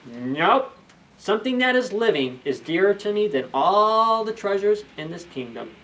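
A man doing a slow, high, sly little imp. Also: very animated, a bit bright, full character voice. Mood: demanding. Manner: slow, drawn-out, scheming. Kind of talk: storybook character voice. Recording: slightly distant camera microphone.

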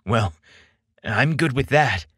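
A man's voice: medium pitch, expressive, takes it slowly, a bit bright, husky, no echo, clean studio sound, full character voice. A man voicing an eager, smitten young man in a romance story, with an earnest, playful manner.

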